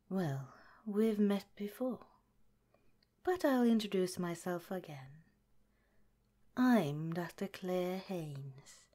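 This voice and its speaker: A woman voicing a calm, caring woman scientist director in an ASMR roleplay, speaking gently and politely in a soft, whispery way. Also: fairly low pitch, drawn-out words, pauses often, full character voice. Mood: gentle, reassuring.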